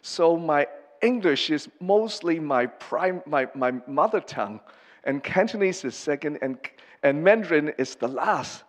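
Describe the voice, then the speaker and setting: A middle-aged pastor, a man from Hong Kong, giving a personal testimony sermon in an earnest, halting way with many pauses and restarts. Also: a little high, expressive. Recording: long reverb of a large hall.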